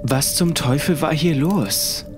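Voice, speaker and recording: A man's voice: fairly high pitch, takes it slowly. A man narrating a scripted first-person horror story. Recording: music under the voice. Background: music.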